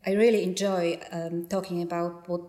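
A woman's voice: on the low side, level tone, moderate pace, warm tone. Nervous Polish-accented woman, echoey room. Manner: nervous, hesitant, many fillers. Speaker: knitting designer and teacher. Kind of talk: interview. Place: yarn festival venue.

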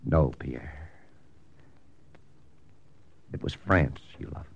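A man's voice: very deep voice, extremely slow, highs cut off, heavily acted. A man playing a hard-boiled American spy lieutenant, narrating terse in first person in a 1940s radio drama. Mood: somber. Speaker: narrator and lead actor. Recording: old radio recording with hiss.

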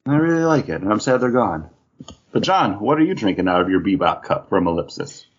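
A man chats casually as a podcast host in a fairly low, animated voice. His tone is a little husky, and the recording is slightly dull and lo-fi. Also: fairly quick. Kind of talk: casual panel chat about beer and geek news.

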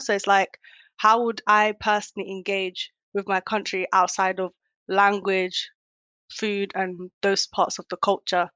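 A woman's voice: mid-range voice, fairly bright tone, no room sound. A young woman born in Kenya, speaking reflectively in a casual conversation, with lots of "um"s. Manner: reflective, many fillers.

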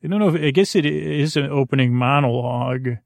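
A man with a creaky voice hosting a sleep podcast, wandering through a soothing, hesitant monologue full of tangents. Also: mid-range voice, brisk, no echo. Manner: meandering, hesitant, full of tangents and trailing restarts; soothing, lulling. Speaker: podcast host. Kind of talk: rambling bedtime monologue to lull listeners to sleep.

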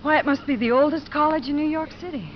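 An actress playing a wistful, warm-hearted young social worker who tells her story in a 1950s radio drama. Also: high-pitched, fast, a little hiss or hum, close to an everyday voice. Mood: admiring. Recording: muffled 1950s radio recording.

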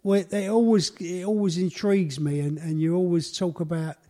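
A man's voice: high-pitched, steady tone, moderate pace, dark tone. A man in his sixties from London hosting a podcast, talking casually and repeating words as he searches for them. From London, England. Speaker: podcast host. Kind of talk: casual interview conversation.

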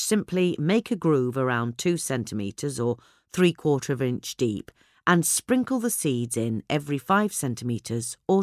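A woman narrates a scripted gardening piece with plenty of rise and fall in her pitch. The sound is dry and close, with very little room around her. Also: dry recording. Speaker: article reader.

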